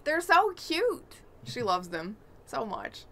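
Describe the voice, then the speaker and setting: A woman's voice: fairly high pitch, wildly swooping pitch, slow, fairly bright tone. A woman co-hosting a podcast, chatting casually about games. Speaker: podcast co-host. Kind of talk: casual gaming chat.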